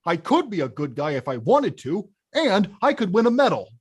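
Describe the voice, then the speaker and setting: An actor playing a big, frustrated and stubborn video-game bad guy who craves respect, with emphatic, blustering delivery in an animated film table read. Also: mid-range voice, normal speed, slightly rough. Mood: pleading, defensive.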